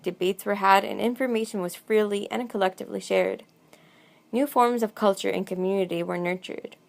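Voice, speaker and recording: A woman's voice: medium pitch, gravelly. A woman reading a scripted documentary narration.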